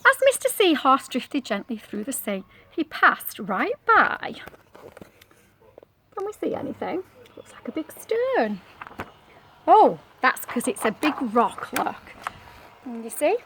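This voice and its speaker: A woman reads a picture book aloud to young children in a high, bright voice with big swoops of pitch. She is expressive and coaxing, breaking off for questions and exclamations. There is faint noise behind her. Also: slow, long pauses. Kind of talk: animated storybook read-aloud with asides to children.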